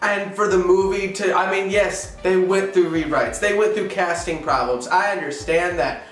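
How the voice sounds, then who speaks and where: A man's voice: high voice, moderate pace, fairly bright tone. A man co-hosting a gaming show, giving casual, opinionated film commentary in a slangy, ranting way. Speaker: co-host of a gaming review show. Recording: music playing under the voice. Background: music.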